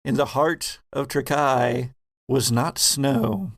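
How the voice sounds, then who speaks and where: Slow, deliberate man as game master. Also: mid-range voice, no echo, clean studio sound. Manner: deliberate, suspenseful pauses. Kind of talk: dramatic, narrating a tabletop game.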